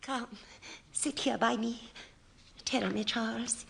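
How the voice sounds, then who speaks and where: An actress playing an adoring, melodramatic heroine in a parody of 1940s film romance, on an old radio comedy recording. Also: female voice, on the high side, steady tone, gravelly, a bit bright, a little hiss or hum, exaggerated voice. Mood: tender, melodramatic. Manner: theatrical, gushing, drawn-out delivery.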